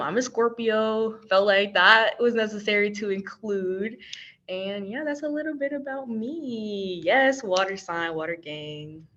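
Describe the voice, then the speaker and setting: A woman's voice: medium pitch, a bit bright. An American woman from Texas leading an online training in a casual, chatty, playful and informal way over a video call. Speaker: workshop trainer and organization's communications coordinator. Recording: dull, lo-fi video call audio.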